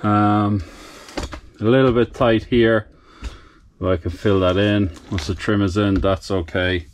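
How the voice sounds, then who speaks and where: Slow, low-voiced man narrating DIY work. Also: slight background noise. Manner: relaxed, matter-of-fact, talks to camera while working. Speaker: DIY renovator. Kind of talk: casual work commentary. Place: indoors, farmhouse under renovation.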